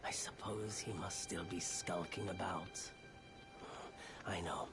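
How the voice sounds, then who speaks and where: A weary wandering merchant from a fantasy game, speaking low and very slowly. His delivery is soft, hushed and confiding, with music playing clearly behind him. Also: fairly even intonation, full character voice. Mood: wry, inviting. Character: weary, soft-spoken male wandering merchant of an outcast people. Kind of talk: scripted fantasy video game dialogue.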